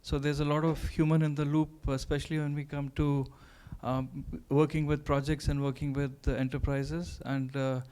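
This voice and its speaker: Man with a smooth, fairly high, flat voice. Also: normal speed, hardly any pauses. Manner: many "uh" fillers, matter-of-fact. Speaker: panelist. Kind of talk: conference panel introduction. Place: conference stage.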